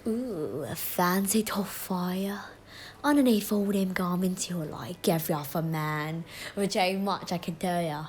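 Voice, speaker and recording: A woman's voice: lowish voice, unhurried, darkish tone, lightly acted. Woman as brazen Cockney streetwalker. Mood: saucy, mocking. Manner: cheeky, teasing. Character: brazen, mocking Victorian East End streetwalker woman. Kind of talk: scripted audio play acting.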